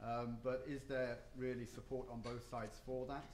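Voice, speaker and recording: A man's voice: medium pitch, little pitch movement, normal speed, a little mellow, a little hiss or hum. Man speaking in an echoey conference room. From not Japan or the US. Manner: hesitant, many ums and restarts. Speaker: conference panelist. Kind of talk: conference panel talk.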